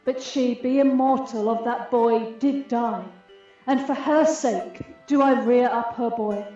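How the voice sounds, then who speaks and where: A proud, aggrieved fairy queen acted by a woman declaims Shakespearean radio verse in a stately, unhurried way. Her voice sits fairly high and rings with very heavy echo, slightly muffled, with music underneath. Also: mellow. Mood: tender, resolute. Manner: stately, measured, declamatory delivery.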